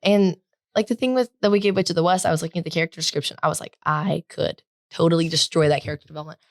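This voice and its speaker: Loud, low-voiced teenage girl chatting. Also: steady tone, racing, no room sound, clean studio sound. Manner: loud, projecting, rambling with many "like" fillers. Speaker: podcast co-host. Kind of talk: casual chat.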